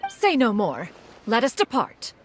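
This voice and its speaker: A woman's voice: fairly high pitch, huge pitch swings, unhurried, a little hiss or hum. A woman voicing a refined, dignified female collector who speaks in a lofty, archaic way, in a video game. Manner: archaic, lofty and elegant speech.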